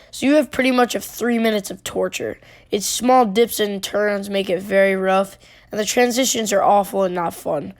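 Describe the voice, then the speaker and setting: A woman with a congested, sick voice, talking casually in a voice-over review with plenty of fillers and restarts. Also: medium pitch. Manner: loose and chatty, many fillers and restarts. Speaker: theme park video creator.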